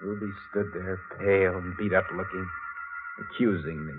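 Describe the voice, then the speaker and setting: Low, menacing 1940s radio killer acted by a man. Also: fairly even intonation, normal speed, close to an everyday voice. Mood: cold, menacing. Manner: intense, dramatic first-person narration. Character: obsessed murderous man narrating his crimes, fanatical and menacing. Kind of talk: 1940s radio thriller acting. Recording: muffled 1940s radio recording with loud music.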